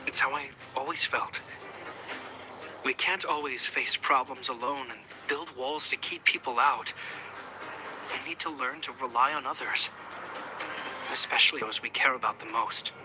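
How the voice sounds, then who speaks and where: An actor voicing an earnest, loyal, protective brother in a video game, speaking sincerely and deliberately. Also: male voice, mid-range voice, natural voice, poor audio. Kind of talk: English video game voice acting. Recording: music behind the dialogue. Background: game music.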